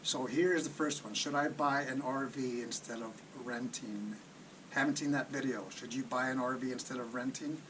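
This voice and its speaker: A man's voice: mid-range voice, a bit bright. Man talking off the cuff, distant noisy mic. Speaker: personal finance video host. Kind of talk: casual off-the-cuff commentary on a list of topics.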